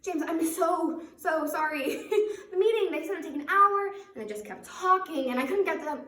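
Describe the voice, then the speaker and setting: A woman performing a theatrical monologue as a flustered, guilt-ridden young woman who apologizes in a halting, nervously rambling way. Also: very high voice, lively intonation, sharp tone, heavily acted. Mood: flustered, apologetic. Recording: roomy echo, distant microphone.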